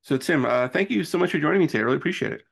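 A man's voice: fairly high pitch, animated, racing, gravelly, slight background noise. A man in his forties hosting a casual interview in an enthusiastic, fannish way. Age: 40s. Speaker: interviewer and host.

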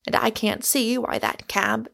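A woman's voice: fairly high pitch, steady tone, a little slow, creaky, sharp tone, full character voice. A woman voicing an exuberant, argumentative Irishman, a storybook suitor who declaims with great emphasis. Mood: ponderous, emphatic.

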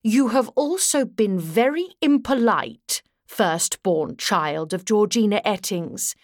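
A woman's voice: mid-range voice, lively intonation, slow, darkish tone, dry recording, clean studio sound. A woman voicing an elegant, vain male elf lord in a storybook, with a languid, sighing, grandly formal delivery. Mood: haughty, offended.

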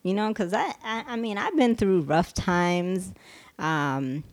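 A woman from Puerto Rico, raised in the US, co-hosting a casual podcast chat in a lively, slangy way. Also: medium pitch. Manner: lively, slangy, quick asides. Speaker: podcast co-host.

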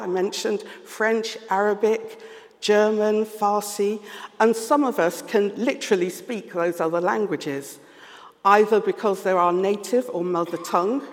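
A man with a London accent preaching a sermon, earnest and measured, pausing often as he reflects. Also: high-pitched. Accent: London English. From London, England. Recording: long reverb of a large hall.

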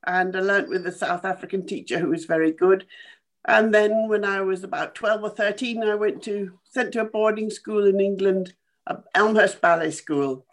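A woman in her eighties from Kenya, a former dancer telling her life story in a halting, thoughtful way with small laughs. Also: mid-range voice. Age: 80s. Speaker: interview guest, former dancer. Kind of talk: casual remote interview.

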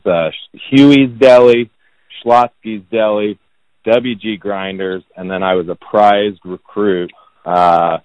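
A man in his thirties from Indianapolis, a real estate agent talking about himself as a podcast guest in a monotone, self-deprecating way. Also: deep voice, no echo. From Indianapolis, United States. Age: 30s. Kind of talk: casual podcast interview. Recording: dull, lo-fi sound.

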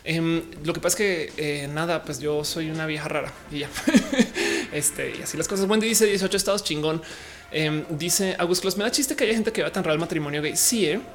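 A woman's voice: low voice, normal speed. A woman with a Mexican accent, chatting casually on a live stream and reading viewers' comments, upbeat and slangy, laughing often.

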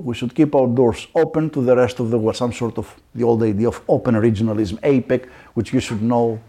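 A middle-aged man, a law professor, answering interview questions in an animated, talkative way with frequent asides. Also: medium pitch, breathy edge.

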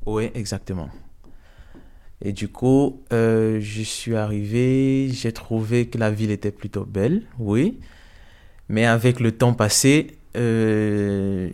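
A young man from Cameroon giving a hesitant, soft-spoken personal testimony in an interview, with many fillers. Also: fairly low pitch, clean tone.

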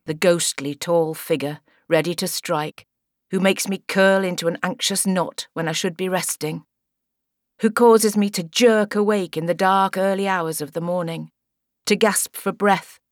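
Female audiobook narrator for suspense fiction: slightly low voice, measured, dramatic delivery, very dry studio sound. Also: no echo. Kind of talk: scripted first-person thriller narration.

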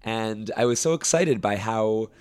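A young man who directs a camp choir, explaining his work at length in a relaxed interview, with fillers and restarts. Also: lowish voice, a little slow, clear, resonant tone. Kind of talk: conversational interview.

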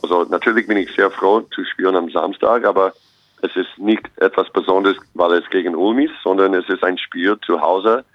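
A man's voice: low voice, fairly even intonation, normal speed, no echo, highs cut off. A young American man with English as his first language, a basketball coach speaking German earnestly and optimistically as an interview guest. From United States. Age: young adult. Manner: optimistic, earnest, restarts phrases often.

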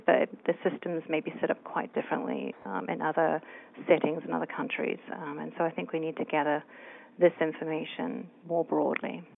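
A woman's voice: deep voice, creaky, a little hiss or hum. A woman from New Zealand, a researcher answering interview questions thoughtfully with many fillers. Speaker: researcher guest. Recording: tinny phone line.

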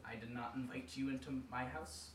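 A man voicing Death as an old male spirit who speaks slowly in a deep, raspy voice. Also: fairly even intonation, slight background noise, lightly acted. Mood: menacing. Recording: distant roomy mic.

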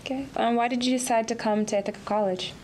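A woman's voice: fairly high pitch, fairly even intonation, fast, darkish tone, slight background noise. A woman interviewing a guest for a podcast, often starting her questions with hesitant fillers.

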